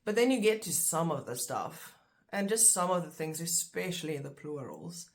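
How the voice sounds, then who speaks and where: A woman's voice: low voice, big pitch swings, moderate pace. Chatty woman teaching. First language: Afrikaans. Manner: chatty, explains step by step with fillers. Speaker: language teacher. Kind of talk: casual online lesson.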